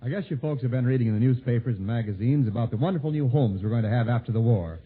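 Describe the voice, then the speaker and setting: A man's voice: mid-range voice, very fast, breathy edge, narrow, tinny audio. A man announcing from a script in the style of 1940s American network radio. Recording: thin, band-limited 1940s radio broadcast.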